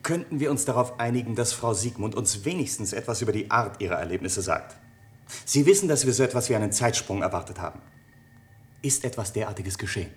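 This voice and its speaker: Man acting a brisk, suspicious research scientist. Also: fairly low pitch, expressive, a little mellow, close to an everyday voice. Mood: probing. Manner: curt, formal, pointed. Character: blunt, suspicious, impatient male research colleague. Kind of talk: German radio play acting. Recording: faintly noisy vintage radio drama recording.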